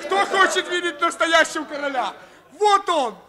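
Very high-pitched rogue, jeering, boisterous, mock-pompous, radio play, noisy crowd around. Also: male voice, animated, normal speed. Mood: gleeful mockery. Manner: mocking, shouted mock-pomp. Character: jeering, boisterous street rogue mocking a beggar. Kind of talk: theatrical radio drama acting.

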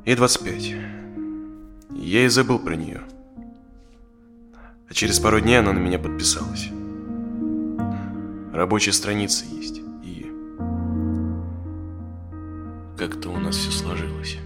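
An actor playing a conflicted married dentist of forty in an audio drama, confiding hesitantly in natural, conversational speech. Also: male voice, low voice, normal speed, pauses often, close to an everyday voice. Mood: subdued, hesitant. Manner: hesitant, confessional. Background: plucked guitar music.

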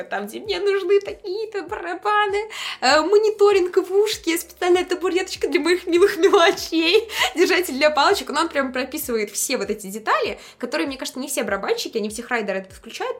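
Woman musician as interview guest: slightly high voice, casual and slangy, expressive storyteller who acts out quoted lines. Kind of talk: casual chat, lively anecdotes.

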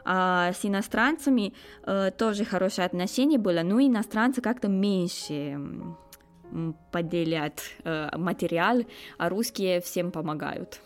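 Low-voiced young Romanian woman, hesitant. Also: unhurried, faint music behind. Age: 20s. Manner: hesitant, searching for words in non-native speech. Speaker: interview guest, foreign student. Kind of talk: conversational interview answers.

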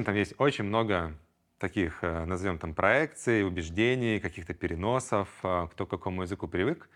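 A man hosting a solo podcast, talking things through thoughtfully and pausing to search for words. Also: deep voice, a bit bright. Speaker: podcast host and group facilitator. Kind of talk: reflective solo podcast talk.

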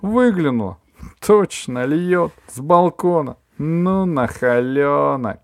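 A man voicing a fussy, excitable middle-aged woman neighbour who talks in a high, loud, drawn-out way. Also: dry recording, studio quality, close to an everyday voice. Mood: indignant gossip.

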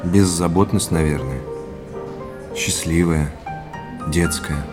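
An earnest, curious man in an audio drama. His voice is very deep and his replies are brief and thoughtful. Music is clearly audible underneath. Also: slow pace. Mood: attentive.

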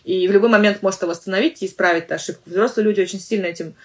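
A woman who teaches languages talks through a question on her podcast at a brisk clip. Her voice sits at a middle pitch, and her talk runs loose and chatty, full of fillers and restarts. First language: Russian. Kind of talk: casual podcast monologue.

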